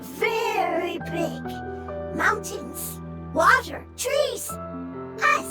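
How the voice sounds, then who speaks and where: High, halting, echoey little creature. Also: female voice, expressive, far from the mic, loud music behind the voice, full character voice. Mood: earnest, halting. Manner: halting speech in one or two words. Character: small, simple-minded, gentle primordial creature longing for home. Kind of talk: video game voice acting.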